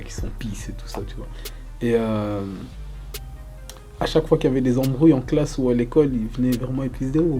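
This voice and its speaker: A young man from Congo answering interview questions casually, loose and relaxed, with lots of fillers. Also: mid-range voice. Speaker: rapper interviewee.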